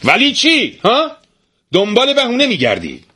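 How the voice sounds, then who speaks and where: A worn-out wife in a storybook folk tale, voiced by a man in a raised, husky tone. She scolds and pleads in turn, swinging widely in pitch. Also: huge pitch swings, no echo, full character voice. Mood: scolding. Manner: pleading then shouting, scolding. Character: weary, exasperated, nagging wife. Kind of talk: storybook reading.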